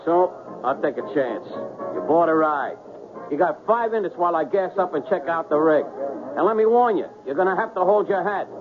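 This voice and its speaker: An actor playing a touchy working-class truck driver in a 1970s radio drama, blunt and slangy. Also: male voice, on the high side, expressive, a little fast, phone-quality sound, music in the background, close to an everyday voice. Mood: brusque. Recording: phone-thin old radio broadcast.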